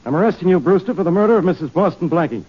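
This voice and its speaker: A commanding police detective in an old radio play. He is blunt and rapid, with a highish voice on a dull 1940s recording. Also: expressive, husky. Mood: stern, accusing. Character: gruff, blunt, authoritative police detective man. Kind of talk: 1940s radio crime drama acting.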